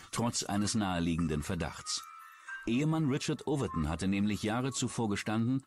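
A man narrating a true-crime documentary in a measured, suspenseful way from a script. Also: lowish voice. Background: background music.

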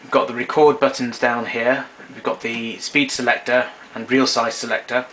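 A man talks through equipment in an informal, matter-of-fact demonstration. His voice sits at an average male pitch. It is an amateur recording from a small room, with clear noise behind him. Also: level tone, moderate pace, sharp tone, poor audio. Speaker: tape recorder collector giving a demonstration. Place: small domestic room indoors. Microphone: camera mic a short distance away. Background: clear background noise.

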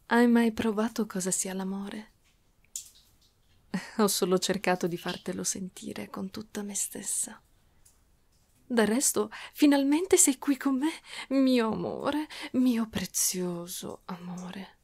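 A doting dragon woman, acted out by a woman speaks in an intimate ASMR roleplay style. Her delivery is slow, at a middling pitch, lingering on words with a cooing, teasing sweetness. She comes across as clingy and possessive, a yandere guarding her treasure. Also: vocal fry, darkish tone. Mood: teasing, loving.

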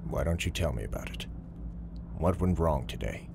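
Man playing a deep-voiced, steady police negotiator. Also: creaky, noisy background, heavily acted. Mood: calm, steady. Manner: measured, reasoning, pleading. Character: calm, earnest male police officer negotiating. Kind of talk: audio drama acting.

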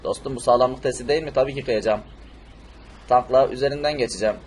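Guy doing a cheeky pushy superhero for a cartoon, talks fast, slight background noise. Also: mid-range voice, darkish tone, close to an everyday voice. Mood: insistent. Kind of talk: amateur cartoon-style game video dub.

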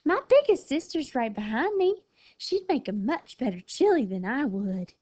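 A woman's voice: high-pitched, very animated, normal speed, a little mellow, no echo, pitched above the performer's own voice. A woman voicing a small, bold, sassy female armadillo in a storybook, perky and folksy.

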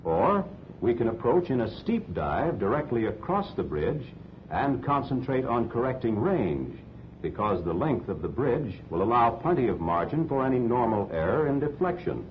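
An American man reading scripted instructional narration for a 1940s training film in a measured, didactic way. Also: sing-song. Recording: dull 1940s film soundtrack, rumbling noise.

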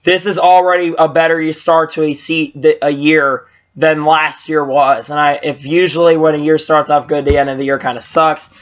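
A man's voice: a little high, normal speed, few pauses. A young man casually recapping a race on a webcam in a small room, rambling with fillers and restarts, his voice a bit strained. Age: young adult. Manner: rambling, lots of fillers and restarts, gets excited. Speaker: vlogger reviewing races. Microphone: webcam at low angle. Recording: slightly dull lo-fi webcam audio.

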